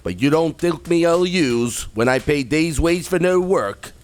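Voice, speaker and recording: A man playing a miserly, grumpy, cold-hearted older businessman in a radio play, with a gruff, scornful, curt delivery. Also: fairly high pitch, animated, a little slow. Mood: grumbling, impatient.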